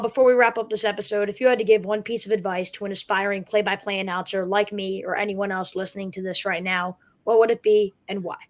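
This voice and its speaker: Young dude podcast host, talks really fast, super appreciative of the guest. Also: male voice, high-pitched, fairly bright tone. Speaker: podcast host, aspiring announcer. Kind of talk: podcast interview hosting.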